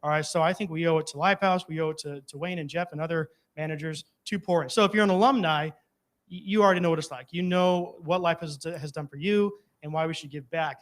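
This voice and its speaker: A man with a somewhat high voice gives an informal testimonial to a live audience. He talks very quickly and in a chatty way. His manner moves between joking and earnest. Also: fairly even intonation. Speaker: guest speaker, alumnus performer of the theater.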